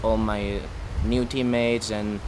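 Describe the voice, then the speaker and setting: A sixteen-year-old kiteboarder from Spain, speaking casually in an interview outdoors by a pool, rushing at times. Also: male voice, on the low side, little pitch movement. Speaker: pro kiteboarder. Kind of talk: interview answers. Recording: loud background noise.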